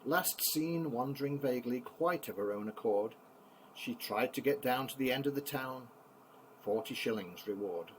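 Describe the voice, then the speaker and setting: A man's voice: medium pitch, animated, moderate pace. Older man reading verse, noisy recording. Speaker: grandfather reading poems to grandchildren. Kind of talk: expressive bedtime poetry reading.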